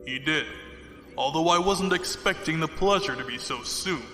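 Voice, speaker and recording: A man's voice: fairly high pitch, moderate pace, sharp tone, slight background noise. A man playing a courtly, condescending, ruthless fleet commodore villain in an audio drama, speaking formally. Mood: smug, courtly. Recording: echoey hall reverb, music behind.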